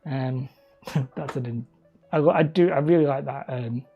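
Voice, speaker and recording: A man casually chatting on a livestream while he crafts hobby terrain, rambling and self-deprecating as he reads the chat aloud. He calls his own voice high and whiny. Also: measured pace, gravelly, slightly rough, highs cut off, faint music behind. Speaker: hobby terrain-making streamer.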